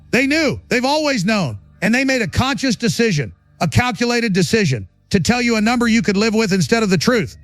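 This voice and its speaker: A man playing a fiery, defiant conspiracy radio host, ranting in emphatic, indignant, punchy phrases for an audio drama. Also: high-pitched.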